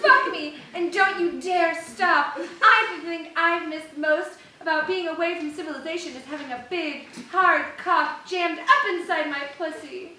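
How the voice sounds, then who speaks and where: A brazen, sultry starlet voiced by a woman in a comic stage reading, extremely high and bright. She sounds distant in a very echoey space with faint noise. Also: measured pace, hardly any pauses, full character voice. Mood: lustful, demanding. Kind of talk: live dramatic reading of parody fan fiction.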